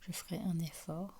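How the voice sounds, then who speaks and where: A woman with a hoarse voice from a cold gives a slow, calm card reading, often half-whispering. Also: on the low side. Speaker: tarot card reader. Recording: loud background noise.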